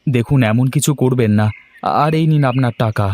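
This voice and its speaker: A man's voice: medium pitch, normal speed, a little mellow, slight background noise, natural voice. A man voicing an agitated, pleading younger-brother farmer in a cartoon. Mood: flustered, defensive. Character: excitable younger-brother farmer, money-minded then remorseful. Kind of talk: Bangla cartoon dubbing. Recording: music under the voice.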